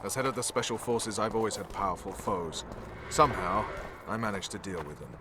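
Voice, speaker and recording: A man's voice: lowish voice, a little fast, very noisy, close to an everyday voice. A male actor playing a hard, vengeful special forces commander in a video game, speaking in a stern, blunt, resolute manner. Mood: subdued, firm. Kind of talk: dramatic video game acting.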